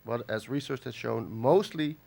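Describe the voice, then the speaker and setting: A man from the Netherlands gives a conference lecture while nursing a cold. His delivery is halting, full of fillers and restarts, at a middling pitch. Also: creaky, a little mellow. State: has a cold. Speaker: conference speaker. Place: conference hall.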